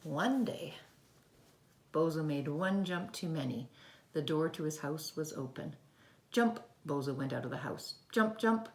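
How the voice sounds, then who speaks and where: Female early-years storyteller, low creaky voice, simple child-directed delivery, roomy home recording. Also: moderate pace, darkish tone, slight background noise. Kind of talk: read-aloud storytelling for young children. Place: indoors at home.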